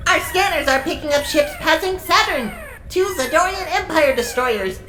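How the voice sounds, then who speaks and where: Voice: sits high, expressive, normal speed. An actor playing a small, fussy, anxious robot assistant in an audio drama, exclaiming fretfully. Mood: alarmed. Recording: echoey, noisy recording.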